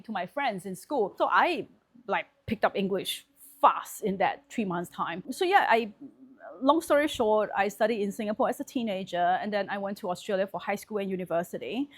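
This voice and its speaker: A woman in her forties, born in Malaysia with Chinese as her first language, talking frankly and casually to camera as a property and wealth vlogger. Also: medium pitch. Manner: frank and conversational, thinking aloud.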